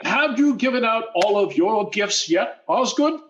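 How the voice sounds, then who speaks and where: A man playing a jovial, self-important school board head in a radio sitcom, with a hearty, deliberate delivery. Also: high-pitched, expressive, fairly bright tone, roomy sound, highs cut off. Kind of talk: 1940s radio sitcom acting on stage.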